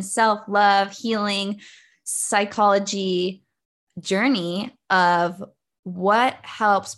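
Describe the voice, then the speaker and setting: A woman's voice: mid-range voice, a bit bright. A young woman guesting on a podcast as an author and coach, talking casually and chattily about her own story. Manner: casual, chatty, many "like" fillers. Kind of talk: podcast interview.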